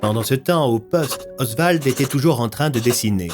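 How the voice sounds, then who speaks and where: A man's voice: mid-range voice, moderate pace, over music. A man narrating a scripted audio story as its storyteller.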